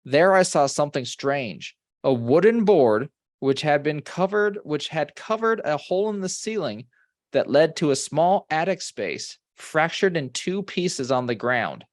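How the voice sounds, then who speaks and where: A man's voice: on the high side, expressive, measured pace, dry recording, studio quality. A man co-hosting a comedy podcast, reading ghost stories aloud with wry, bantering asides. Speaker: podcast co-host.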